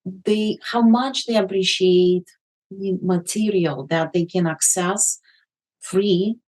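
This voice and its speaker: Woman, slow, hesitant fillers, interview guest. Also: medium pitch, a little mellow, rough recording. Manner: deliberate, with many ums and uhs. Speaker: guest, magazine editor. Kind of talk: interview answers.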